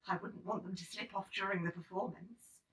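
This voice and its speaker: A woman reading a children's picture book aloud in an expressive, dramatic storytelling manner. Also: mid-range voice, brisk. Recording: slightly distant microphone.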